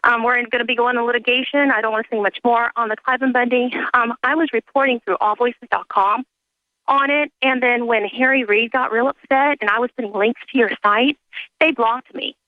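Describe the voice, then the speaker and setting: A woman calling in to a radio show, talking in a hurried, earnest way and jumping between points with many "um"s. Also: a little high, fairly even intonation, dry recording. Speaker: caller. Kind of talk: call-in phone talk. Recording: tinny phone line.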